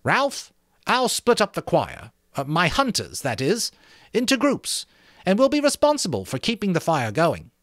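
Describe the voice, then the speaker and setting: Man playing a high-pitched bossy schoolboy leader. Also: huge pitch swings, normal speed, a bit bright, no room sound, studio quality, full character voice. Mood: proud, commanding. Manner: fierce, commanding. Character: Jack, bossy, proud, contemptuous English choir-leader schoolboy.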